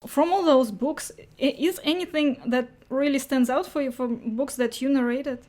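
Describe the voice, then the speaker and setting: A woman's voice: sits high, normal speed, darkish tone, soft background music. A woman interviewing a guest casually, offering short, attentive prompts and questions.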